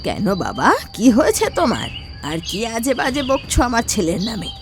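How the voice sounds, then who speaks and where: Village mother: indignant, protective, expressive pitch, cartoon dialogue with background music. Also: normal speed, creaky. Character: defensive village mother protecting her son.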